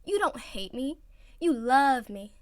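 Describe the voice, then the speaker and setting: A woman's voice: high-pitched, lively intonation, takes it slowly, no echo, voice pushed up. A woman voicing a whiny, dramatic and playfully scheming teenage boy team captain in a story reading. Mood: pouting, coaxing.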